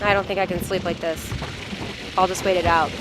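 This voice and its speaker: A woman's voice: lowish voice, fairly even intonation, fairly quick, close to an everyday voice. A woman playing a serious, guarded doctor and disaster specialist in an audio drama. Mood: wary, tense. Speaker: doctor on an emergency response team. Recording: loud engine and battlefield noise effects.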